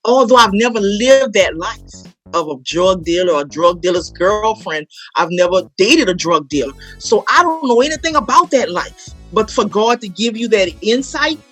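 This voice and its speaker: A woman's voice: medium pitch, expressive, moderate pace, a bit bright, faint music behind. A woman, chatting casually as an interview guest and telling stories in an emphatic, repetitive way. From New Orleans, United States. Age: 40s. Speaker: guest, playwright and stage actor. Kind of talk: casual promotional interview chat.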